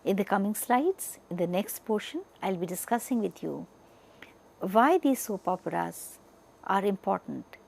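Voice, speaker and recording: A woman with an Indian accent delivering a scripted lecture over slides in a deliberate, didactic manner, stressing the start of each point. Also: medium pitch, expressive, creaky, a little mellow, breathy edge. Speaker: media educator presenting a lecture.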